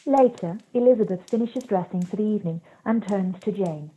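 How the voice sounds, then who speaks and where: A woman reading scripted stage directions as narrator of a drama, with a husky voice. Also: medium pitch, brisk, dark tone. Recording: dull, lo-fi video-call audio.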